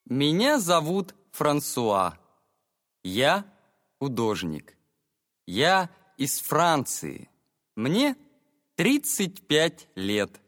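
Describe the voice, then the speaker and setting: A man reads short self-introductions for a language listening test, clearly and slowly. His pitch rises and falls widely across each sentence. The dry studio sound carries a long reverb tail. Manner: clear, expressive exam-style delivery. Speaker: voice actor for a listening exam. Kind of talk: slow, carefully read exam monologue.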